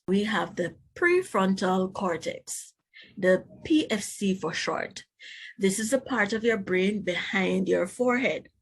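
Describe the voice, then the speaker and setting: Woman, unhurried delivery, slightly husky voice, children's read-aloud teacher, instructive, expressive emphasis. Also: medium pitch. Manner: instructive, addresses young listeners directly, expressive emphasis. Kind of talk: read-aloud storytelling with explanatory asides to children.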